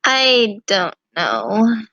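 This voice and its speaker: A woman doing an amateur reading of character dialogue, with a halting delivery that sometimes restarts a line. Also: mid-range voice, big pitch swings, sharp tone, dry recording, highs cut off. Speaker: reader voicing female characters in a fan visual novel.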